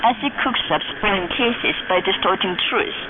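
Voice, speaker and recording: A woman reads scripted radio news in a formal, deliberate, declamatory manner over shortwave. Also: mid-range voice. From North Korea. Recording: noisy, narrow-band shortwave radio recording.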